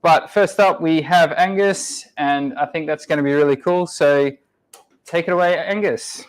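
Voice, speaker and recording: A man's voice: a little high, normal speed, slight background noise, poor audio. A man hosting a meetup, introducing the talks in an upbeat, enthusiastic way. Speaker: meetup host. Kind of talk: event introduction.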